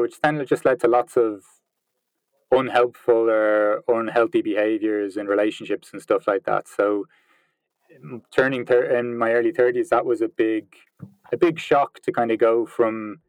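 A man in his late thirties, talking reflectively in an interview, pausing and restarting often as he searches for words. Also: fairly low pitch, clean tone, no room sound, rough recording. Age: 30s. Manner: thoughtful, hesitant, many restarts and fillers. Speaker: interview guest.

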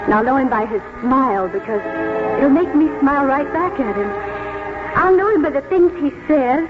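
A woman's voice: high-pitched, lively intonation, normal speed. An actress in a 1940s radio drama playing an eager, romantic young woman schoolteacher with an earnest, dreamy way of talking. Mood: dreamy, excited. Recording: tinny 1940s radio recording with music.

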